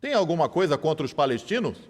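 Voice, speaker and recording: An actor dubbing a sharp, persistent lawyer who cross-examines a witness with measured, theatrical questions. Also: male voice, fairly high pitch, sing-song, big-room sound. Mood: probing. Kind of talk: dubbed film courtroom drama.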